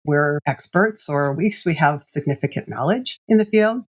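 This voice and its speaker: A woman executive coach telling stories as a podcast guest, animated and laughing often. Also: deep voice, moderate pace, slightly rough.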